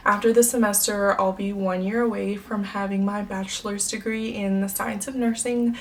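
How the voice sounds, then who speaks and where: A woman's voice: mid-range voice, flat delivery, moderate pace. A woman in her twenties, born in the United States, chatting upbeat to camera as a beauty vlogger, with lots of fillers. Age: 20s. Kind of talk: casual chat to camera. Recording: slightly distant mic, faint room noise.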